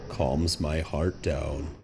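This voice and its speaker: A man voicing a large, brooding, delusional grizzly bear student in a dramatic manga dub, with an ominously tender, hushed manner. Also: extremely low pitch, fairly even intonation, extremely slow, gravelly, background noise, full character voice. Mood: brooding, wistful.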